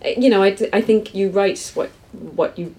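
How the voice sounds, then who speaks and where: A woman's voice: medium pitch, normal speed, darkish tone. A woman novelist with a Cork accent, talking hesitantly and self-deprecatingly at a live bookshop interview. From East Cork, Ireland. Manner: hesitant, self-deprecating, many restarts and laughs. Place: bookshop event.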